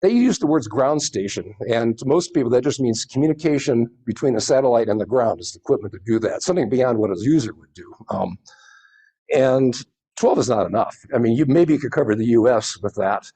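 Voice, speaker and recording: A man's voice: medium pitch, animated, fairly quick, warm tone, breathy edge. A man presenting informally to a group, explaining in a rambling way with many hedging asides. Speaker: presenter at a space society meeting.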